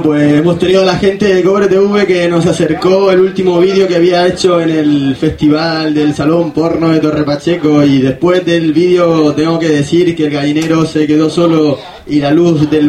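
Guy hosting a loose jokey radio show, kinda high voice, echoey room, rambles a bit. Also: darkish tone. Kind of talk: informal humorous radio presenting. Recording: roomy, echoing voice recording.

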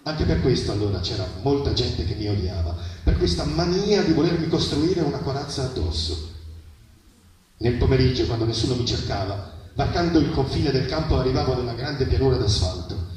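A man reads literary prose aloud at a live event, heard from a distance through heavy echo. His pitch is middling and his phrasing is measured and dramatic, with pauses between images. Faint noise sits behind the voice. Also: lively intonation, darkish tone. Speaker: reader at a public literary reading. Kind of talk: expressive literary reading of a first-person story. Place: live reading at an outdoor festival. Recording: very echoey, distant mic, noisy outdoor ambience.

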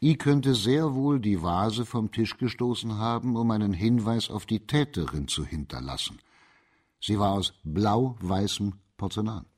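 An actor in a German radio play playing a shrewd, composed magistrate of old China who explains things deliberately and with authority. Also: male voice, on the low side, animated, a little mellow. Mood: calm, reflective.

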